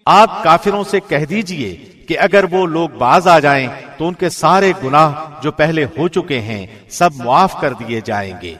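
A man's voice: fairly high pitch, fairly bright tone. Man formally reading a scripture translation. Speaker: reader of Urdu Quran translation.

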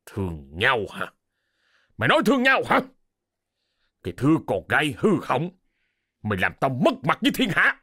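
A man's voice: mid-range voice, huge pitch swings, moderate pace, long pauses, fairly bright tone, husky, no room sound, clean studio sound, heavily acted. A man voicing a furious, cruel rural landlord and father in an audio story, barking threats. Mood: enraged. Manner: roaring, threatening, barked commands.

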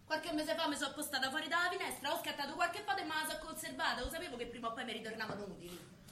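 A woman's voice: high-pitched, lively intonation, rapid-fire. An actress playing a brazen, combative young female student with a colloquial Roman accent, in theatrical style. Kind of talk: theatrical stage acting. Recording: echoey stage recording with background noise.

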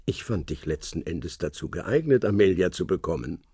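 A cryptic old spy. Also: male voice, sits low, expressive, a little mellow, breathy edge, no echo, exaggerated voice. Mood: calm. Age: older. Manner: cryptic, measured, speaks in hints. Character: old retired secret-service man, cryptic. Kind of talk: radio play acting.

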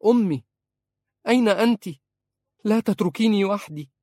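A man voicing a grieving young princess in an audiobook, his voice raised high and strained as she cries out. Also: normal speed, a little mellow, no echo, studio quality, lightly acted. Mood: anguished.

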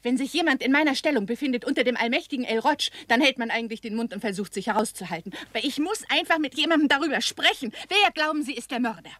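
A woman's voice: high-pitched, fast talker, hardly any pauses, fairly bright tone, no echo. A woman playing a seductive, lonely Hollywood actress in a 1960s German radio crime drama, coquettish and insistent. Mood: uneasy, probing. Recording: 1960s radio play with background noise.

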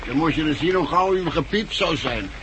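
An actor with a Dutch accent from the Netherlands playing a rough, distrustful, excitable blind man in a 1960s radio drama. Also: a little high, brisk, close to an everyday voice. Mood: scoffing. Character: rough, distrustful, jumpy blind man guarding his captive. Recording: noisy old 1960s radio recording.

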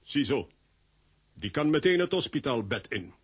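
A man acting a good-natured, excitable, bumbling character in a children's comic-strip radio play, with exclamatory, theatrical delivery. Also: medium pitch, unhurried, slightly rough. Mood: cheerful.

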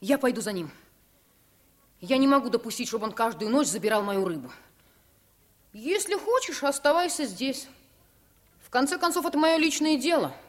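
A woman's voice: high voice, moderate pace, long pauses. A woman voicing a brave, determined young boy who leads his friend, in a 1950s radio play. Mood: eager. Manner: eager, commanding, lively.

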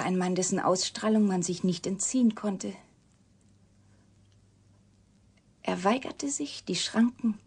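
An actress playing a reclusive, wounded young woman scientist in a radio drama, guarded yet confiding, speaking breathily and deliberately, often sinking to a whisper. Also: medium pitch, vocal fry, darkish tone, slightly rough, exaggerated voice. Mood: grave, hushed. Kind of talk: German radio drama acting.

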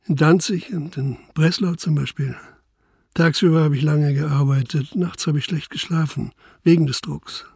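An actor playing a dogged police inspector in a historical radio drama, measured, dry and insistent, with a husky voice. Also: male voice, on the high side, darkish tone. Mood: sober, weary. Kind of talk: radio drama dialogue and sober first-person narration.

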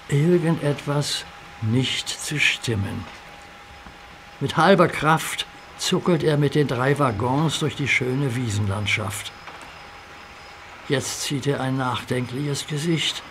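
A man's voice: mid-range voice, a bit bright, a little hiss or hum. A man narrating a children's radio play in a vivid storytelling manner.

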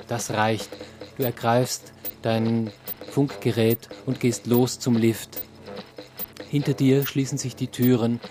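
A man narrating a radio play in the second person, telling the story in a measured, descriptive way. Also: medium pitch. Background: music.

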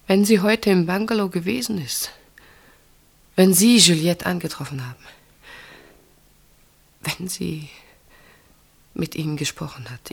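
An actress in a German radio play, playing an anxious woman who fears for her sister and speaks in urgent, breathy pleas. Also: on the low side. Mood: frightened.

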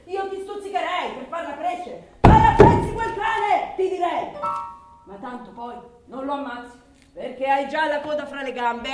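A woman's voice: high-pitched, darkish tone, slight background noise. A woman playing a worldly, cynical, weary woman with a sardonic, declamatory delivery in theatrical radio drama. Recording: roomy, distant microphone.

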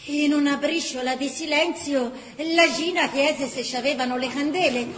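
Bright, high Tuscan storyteller. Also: female voice, normal speed, echoey room, big-room sound, slight background noise. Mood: wry narration. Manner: earthy, expressive. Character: animated Tuscan storyteller mimicking scandalized villagers. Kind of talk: theatrical storytelling for radio.

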